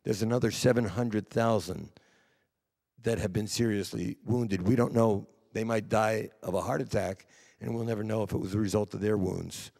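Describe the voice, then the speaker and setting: Low, gravelly man in an echoey hall. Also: steady tone, normal speed, warm tone. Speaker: panel speaker. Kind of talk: earnest panel remarks on war victims. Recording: echoey hall with long reverb.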